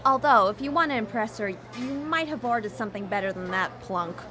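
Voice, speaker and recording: A woman's voice: mid-range voice, fairly quick, pushed and bright, soft background music, natural voice. A woman playing an earnest, admonishing guardian angel in a radio drama. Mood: chiding, encouraging. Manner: preachy, admonishing. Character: earnest female guardian angel, gently chiding mentor.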